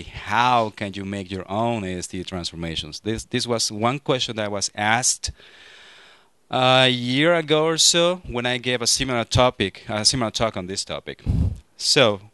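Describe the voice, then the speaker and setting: A man in his thirties from Mexico with a Mexican Spanish accent, giving a lively, joking technical talk to a conference audience through a handheld microphone. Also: on the low side, smooth, no room sound. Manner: lively, joking, frequent restarts. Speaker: conference speaker.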